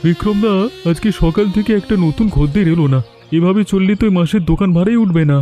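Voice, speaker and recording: Man as loyal cartoon workshop helper, raised pitch, mellow tone, consoling, faint music. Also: a little fast, natural voice. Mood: worried. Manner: earnest, consoling. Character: loyal, encouraging young male garage helper. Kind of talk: animated story dialogue.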